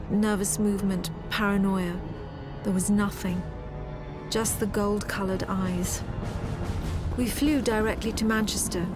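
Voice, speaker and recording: A woman playing a serious military officer who recounts a war in measured, matter-of-fact game narration. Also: medium pitch, lightly acted. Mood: grave, wary. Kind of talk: scripted cinematic game narration.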